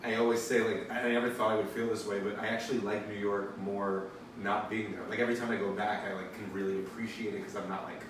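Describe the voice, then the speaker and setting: A man's voice: fairly low pitch, flat delivery, fast talker, few pauses. An easygoing man from the United States chatting as an interview guest, chuckling through his answers. He sounds distant in a very echoey room with faint noise behind him. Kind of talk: casual interview chat. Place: large echoey space by a pool.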